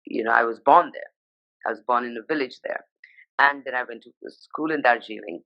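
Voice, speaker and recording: A woman's voice: rumbling low, a little fast, gravelly, highs cut off. A woman from Sikkim, India, whose first language is Nepali, talking animatedly and emphatically as an interview guest over a live video call. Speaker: guest, fashion journalist.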